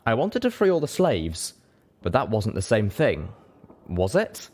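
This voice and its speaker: A man with a low voice narrates a work of fiction. He reads in the first person, in a dramatic storytelling style suited to an audiobook. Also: wildly swooping pitch, unhurried, a little mellow. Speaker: story reader.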